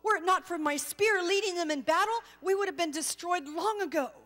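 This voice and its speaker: Commanding storybook warrior king, acted by a woman: defensive, emphatic, raised high pitch, falters under challenge. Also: brisk, fairly bright tone, in a large hall. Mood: indignant, fierce. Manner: emphatic, stammering when challenged.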